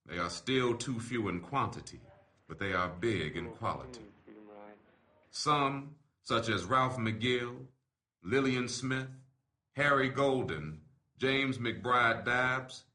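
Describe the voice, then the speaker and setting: A man reading an open letter aloud in a measured, deliberate, formal delivery. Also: lowish voice, sharp tone.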